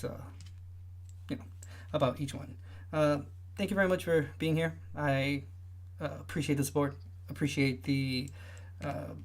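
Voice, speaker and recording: A young man with a light, high voice that listeners often mistake for a woman's. He hosts a relaxed livestream, chatting loosely and filling gaps with lots of "uh"s and restarts. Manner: rambling, many filler sounds and restarts. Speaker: livestream host. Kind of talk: casual livestream chat.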